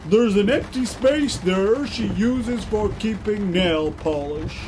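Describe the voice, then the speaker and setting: A man playing a dry, skeptical brother on a road trip in a comic audio drama, with drawn-out, animated delivery. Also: high-pitched, background noise.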